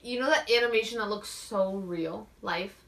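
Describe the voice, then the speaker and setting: A woman's voice: medium pitch, moderate pace, a bit bright, recorded from a distance. A woman co-hosting a casual geek talk show in a blunt, slangy way.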